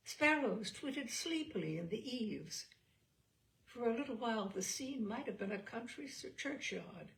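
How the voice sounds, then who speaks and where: Gravelly-voiced woman reading a story aloud. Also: medium pitch, a little hiss or hum, rough recording. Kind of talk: expressive literary narration.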